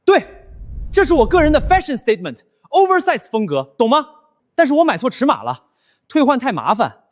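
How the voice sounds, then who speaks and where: An actor in his twenties playing a flustered, glib young office worker in an animated short drama, over-explaining in corporate buzzwords. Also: very high voice, brisk, exaggerated voice. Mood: glib, defensive. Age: 20s.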